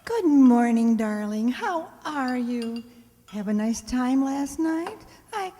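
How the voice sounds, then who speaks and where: A woman's voice: high voice, expressive, slow, warm tone. A woman playing a clingy, adoring housewife in a radio play, gushing and needy. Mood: doting. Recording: echoey hall, stage-like reverb.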